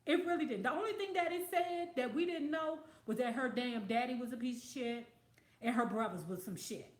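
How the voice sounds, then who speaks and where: A woman's voice: fairly high pitch, brisk, roomy sound. A woman hosting a casual livestream, talking bluntly with plenty of profanity and losing her voice. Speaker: livestream host reading viewer comments.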